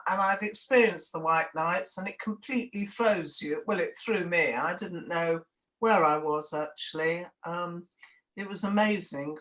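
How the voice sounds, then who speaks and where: A woman interviewing a guest, giving short encouraging replies and asking relaxed questions. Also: mid-range voice, poor audio. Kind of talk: conversational interview.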